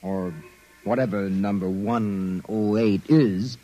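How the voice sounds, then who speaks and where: An actor playing a tough, streetwise private investigator in a 1950s radio drama, giving clipped orders. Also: male voice, fairly low pitch, fairly even intonation, very noisy. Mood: grim. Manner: brisk, streetwise, clipped commands. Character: tough hard-boiled male private eye Broderick. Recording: old radio broadcast with music.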